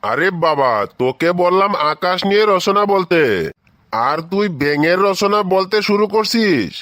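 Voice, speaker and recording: A man's voice: high-pitched, animated, a little slow, a bit bright, no room sound, studio quality. A man voicing an exasperated male schoolteacher in a comedy cartoon, scolding and challenging his pupil. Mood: angry scolding.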